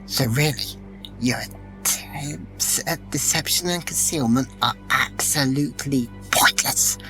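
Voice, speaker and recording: A man's voice: mid-range voice, slow, bright, forceful tone, faint music behind. A man playing a confident, wry warrior in a fantasy audio drama, with a self-assured manner. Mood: smug.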